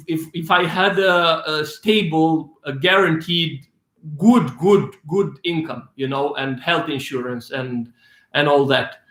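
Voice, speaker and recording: A man's voice: fairly high pitch, a bit bright, roomy sound. A young man from Romania with a Romanian accent, answering viewer questions in a rambling, casual live Q&A, full of restarts, laughs and swearing. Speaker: filmmaker hosting a live Q&A.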